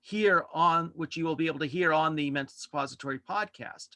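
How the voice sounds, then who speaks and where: A man chatting casually as a podcast guest, halting and rambling as he corrects himself. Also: on the high side, sharp tone, no echo, clean studio sound. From Worcester, United States. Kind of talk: casual interview chat. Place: virtual studio online call.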